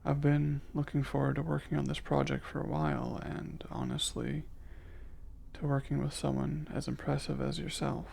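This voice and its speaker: A man voicing a curious, emotionally reserved alien scientist in an intimate ASMR roleplay, speaking formally and precisely, with hesitant, measured diction. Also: mid-range voice, little pitch movement, vocal fry, darkish tone. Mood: relieved, reserved.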